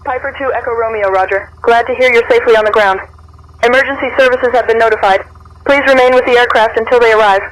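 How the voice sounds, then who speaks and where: A woman's voice: a little high, steady tone, quick pace, poor audio. A synthetic woman's voice playing an unruffled air traffic controller, giving formal instructions over a radio. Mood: composed, formal.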